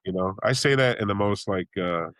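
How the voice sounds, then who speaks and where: A man from Chicago, a blues singer and bandleader, talking casually in an interview, rambling with many restarts and acting out reactions in sudden high exclamations. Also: normal speed, fairly bright tone, no echo, clean studio sound.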